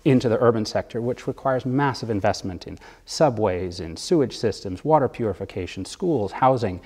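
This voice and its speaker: A man being interviewed as a bond fund manager, explaining economics in a measured way with occasional fillers. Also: fairly low pitch, lively intonation, darkish tone, husky, big-room sound.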